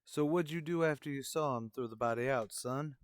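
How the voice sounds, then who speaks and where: A man playing a gruff, hard-boiled police detective in an old-time radio drama, speaking in terse lines. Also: mid-range voice, brisk, no room sound, studio quality, close to an everyday voice. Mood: probing. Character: gruff, dogged male police detectives on night duty.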